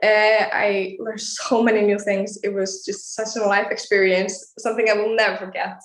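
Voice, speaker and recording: A woman's voice: medium pitch, little pitch movement, normal speed, echoey room, poor audio. An eighteen-year-old woman from Iceland, a pageant contestant answering interview questions earnestly and laughing often. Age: young adult.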